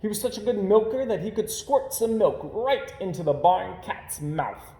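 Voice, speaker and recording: A man reading a children's story aloud as storyteller, with expressive narration. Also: high voice, normal speed. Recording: roomy, echoing home recording.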